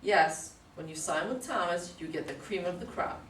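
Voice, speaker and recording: A woman's voice: on the low side, normal speed, a bit bright, lightly acted. A woman playing a chatty, devoted secretary who gushes about her boss, eager to please, in a staged play reading. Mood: gushing. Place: room at a live readers' theater reading. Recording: echoey room, distant mic, faint noise.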